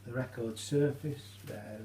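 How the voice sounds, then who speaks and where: A British man giving an informal, halting how-to demonstration, explaining each step as he goes. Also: mid-range voice, fairly even intonation, mellow. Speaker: hobbyist demonstrator. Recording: roomy echo, faint hum.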